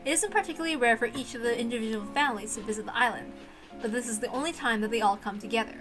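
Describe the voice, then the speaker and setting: A woman reading a visual novel aloud as expressive story narration. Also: on the high side, very fast, over music.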